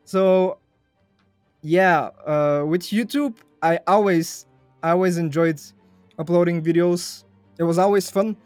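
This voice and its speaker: Young guy chatting as a podcast guest, kinda high voice, dry sound, soft music behind. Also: a little slow, no room sound. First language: Bulgarian. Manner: chatty, laughs easily, repeats phrases. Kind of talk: casual podcast interview.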